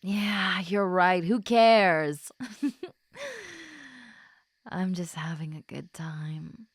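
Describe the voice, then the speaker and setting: A woman plays a popular schoolgirl who is tipsy and openly smitten in a roleplay audio drama. Her voice is on the low side and softly murmured, with slow, drawn-out, affectionate phrasing. The recording is dry and close, as if she is talking only to the listener. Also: fairly even intonation, no echo, exaggerated voice. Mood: adoring, teasing. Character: tipsy, giddy, lovestruck popular schoolgirl, usually stoic.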